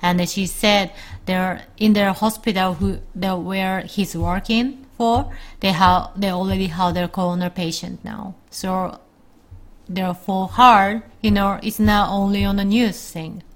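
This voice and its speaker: A woman's voice: medium pitch, fairly even intonation, unhurried. A woman from Japan with a Japanese accent, a native Japanese speaker chatting casually in English and often searching for words as co-host. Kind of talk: casual chat. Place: home in Japan.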